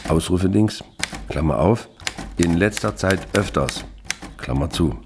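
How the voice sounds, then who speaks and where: A man's voice: sits low, lively intonation, measured pace. A man playing a grumpy, awkward middle-aged father in a radio drama, stiffly dictating a pompous letter to himself as he types. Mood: stilted, earnest. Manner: stilted dictation aloud, muttering punctuation and curses. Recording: noisy room with typewriter clatter. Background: typewriter typing.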